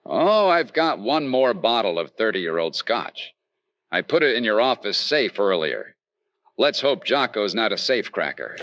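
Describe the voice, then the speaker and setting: A man playing a brisk, sardonic, confident insurance investigator in an old-time radio detective drama. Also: lowish voice, big pitch swings, a bit bright, dry recording, lo-fi sound, exaggerated voice. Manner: sardonic, snappy.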